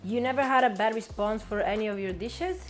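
A woman's voice: on the high side, a little fast, faint music behind. A woman interviewer asking brief, direct prepared questions.

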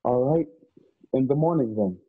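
An actor playing a plainspoken, world-weary cowboy companion in a Western radio drama, with folksy rustic speech. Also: male voice, mid-range voice, animated, moderate pace, slightly rough, narrow, tinny audio. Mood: weary, musing.

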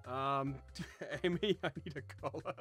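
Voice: mid-range voice, unhurried, a bit bright, faint music behind. The host of a word game, chatting casually with friends in a giggly, exclaiming, bantering way. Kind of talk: casual joking chat.

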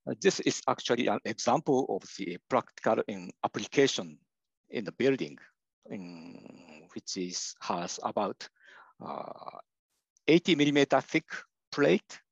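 An older man with a Japanese accent, a professor giving a slide lecture in English, halting often with "uh" and restarting his sentences. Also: medium pitch, expressive, creaky, breathy edge, no echo. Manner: hesitant, many "uh" fillers and restarts. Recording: dull lo-fi dry recording.

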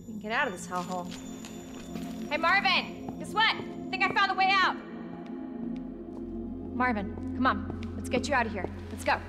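High-voiced plucky young woman survivor. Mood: hopeful, excited. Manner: earnest, emotive. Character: plucky, caring young woman survivor, determined under strain. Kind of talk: dramatic video game cutscene acting.

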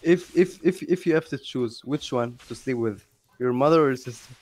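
A young man chatting casually over a headset while gaming, provocative, excitable and laughing often. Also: mid-range voice, moderate pace. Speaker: gamer streaming his match.

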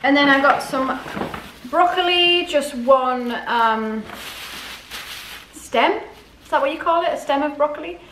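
High-pitched woman chatting in an echoey room. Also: a little slow, pauses often, a bit bright. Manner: chatty, often questioning herself aloud. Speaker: vlogger showing a grocery haul. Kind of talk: casual chatty haul commentary to camera. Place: indoors in a home dining room. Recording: echoey room, clear background noise.

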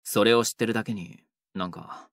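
A man playing an earnest, quietly lovestruck young delinquent in a romantic audio drama. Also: lowish voice, fairly quick, creaky, no echo, clean studio sound. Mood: protective.

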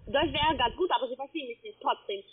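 A woman with a foreign accent, a non-native speaker working as a call center agent, insistent and interrupting, with halting phrasing. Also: high-pitched, slightly rough. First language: not German. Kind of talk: phone sales conversation. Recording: tinny, band-limited phone line.

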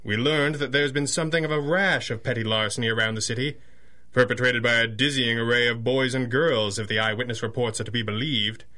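A reserved gentleman hero of a radio adventure, acted by a man. His pitch is middling and his phrasing formal and measured, as befits a proper crime-fighting boss. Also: steady tone, close to an everyday voice. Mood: earnest. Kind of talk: 1930s-set radio superhero drama acting.

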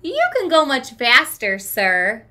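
A woman's voice: sits high, huge pitch swings, slow pace, pushed and bright, exaggerated voice. A woman reading the part of an earnest, determined teenage schoolboy in a storybook, speaking emphatically. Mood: eager.

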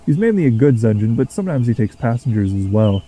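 Patient, good-humoured engine character, played by a man, mellow tone, calm explanatory delivery for a children's railway story. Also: mid-range voice, fast, breathy edge. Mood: amused, explaining.

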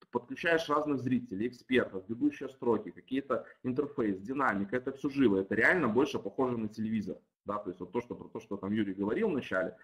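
A man who is a non-native speaker of Russian chats loosely through a video call, stacking fillers and restarting his sentences as he explains his product. His voice sits at an ordinary middle pitch. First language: not Russian, though Russian-speaking. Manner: chatty, many fillers and restarts. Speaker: startup team lead and webinar guest.

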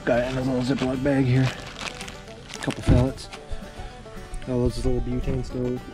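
A man's voice: medium pitch, completely flat delivery, moderate pace, over music. Young guy narrating an outdoor cook. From Canada. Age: young adult. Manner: easygoing, self-narrating while he works. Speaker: fishing vlogger. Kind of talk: casual outdoor cooking vlog. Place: outdoors at a lakeside parking area. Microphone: action camera, sometimes handheld at arm's length.

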